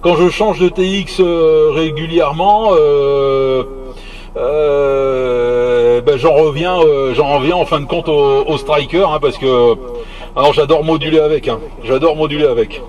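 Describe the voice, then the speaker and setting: A man's voice: on the high side, fairly even intonation, a little slow, darkish tone, muffled recording. A man chatting casually over CB radio as a hobbyist, searching for words and repeating himself.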